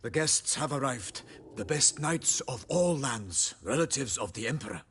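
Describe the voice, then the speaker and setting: An actor playing an earnest knight envoy in a fantasy video game, speaking in formal, courtly phrases with a raspy voice. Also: male voice, mid-range voice, expressive, moderate pace, fairly bright tone. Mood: solemn, earnest.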